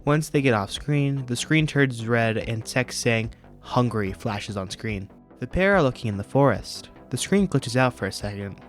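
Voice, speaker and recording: A man's voice: medium pitch, expressive, moderate pace, faint music behind. A man hosting a video review, narrating and commenting from a script. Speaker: YouTube host reviewing horror videos.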